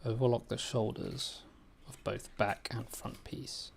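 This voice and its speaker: A man on a live sewing stream, chatting casually and reading pattern instructions aloud slowly, with a self-deprecating manner. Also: mid-range voice, fairly even intonation, gravelly, a little hiss or hum. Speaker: beginner sewer on a livestream. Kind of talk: casual chat. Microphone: desk microphone.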